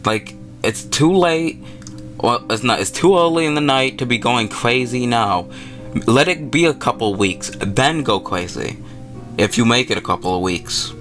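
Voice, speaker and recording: A man's voice: mid-range voice, a little slow, fairly bright tone, faint music behind. A man hosting a gaming video, reading visual novel text aloud and adding wry, informal commentary.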